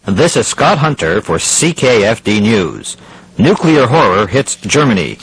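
A man's voice: on the low side, big pitch swings, unhurried, fairly bright tone, a little hiss or hum, full character voice, rough recording. A man playing a serious radio news anchor, reading a formal scripted crisis bulletin. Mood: grave, dramatic.

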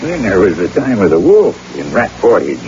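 An actor playing a boastful backwoods guide with a French-Canadian accent, telling yarns theatrically in broken English in an old radio drama. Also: male voice, on the low side, huge pitch swings, moderate pace. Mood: boastful, hearty. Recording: dull, noisy old radio recording.

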